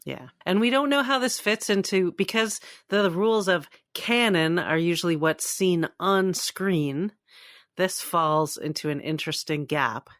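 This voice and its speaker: A woman's voice: lowish voice, unhurried, fairly bright tone, dry recording, clean studio sound. A woman from Toronto, Canada, co-hosting a podcast and chatting casually about entertainment news. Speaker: podcast co-host.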